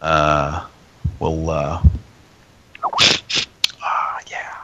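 Host: very low, gravelly man, congested, rambling. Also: flat delivery, highs cut off, noisy background. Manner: rambling, halting. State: stuffed up from a cold. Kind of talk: casual live show chat.

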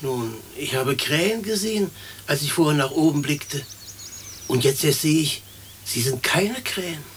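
An actor playing a newly dead woodcutter in a radio play, plain-spoken, wondering and hesitant. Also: male voice, a little high, lively intonation, unhurried, fairly bright tone. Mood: puzzled. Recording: noisy old radio recording.

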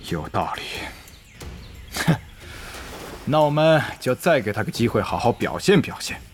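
A man's voice: mid-range voice, normal speed, soft background music, natural voice. An actor playing a hot-tempered, bossy male gaming guild president in a Chinese audio drama. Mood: stern, composed. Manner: bossy, excitable, swings from calm to outbursts.

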